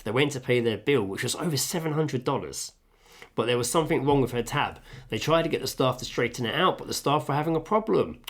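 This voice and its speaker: Man reading a list, sweary asides. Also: medium pitch. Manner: sweary, reads with dry asides. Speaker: podcast co-host. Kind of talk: casual chat, reading a list aloud. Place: home kitchen.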